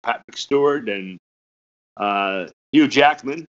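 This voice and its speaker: Older male host, hoarse and raspy, halting delivery, muffled lo-fi audio. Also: medium pitch, fairly bright tone. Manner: hesitant, many fillers and restarts. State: hoarse from a cold. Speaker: podcast host. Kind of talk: casual interview chat.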